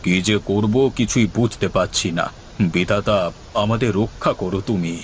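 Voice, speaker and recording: A man's voice: on the low side, moderate pace, a little hiss or hum, pitched below the performer's own voice, natural voice. A man voicing an anxious, pious, kindly old village headman in cartoon dialogue, speaking in a grave, fatherly way. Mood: worried, pleading.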